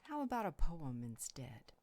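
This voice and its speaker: A woman's voice: low voice, wildly swooping pitch, moderate pace, darkish tone, heavily acted. A woman playing an affectionate, teasing, soothing vampire healer in an intimate audio roleplay. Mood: warm, cheerful. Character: warm, playful, caring female vampire healer.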